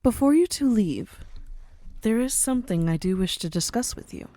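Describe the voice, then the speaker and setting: A woman playing a commanding, cultured mafia boss in a scripted audio drama, poised and grandly formal, with sly warmth. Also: fairly high pitch, lively intonation, normal speed, no echo, close to an everyday voice. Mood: earnest, businesslike. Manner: poised, grandly formal, uses endearments like dearie. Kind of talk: scripted audio roleplay acting.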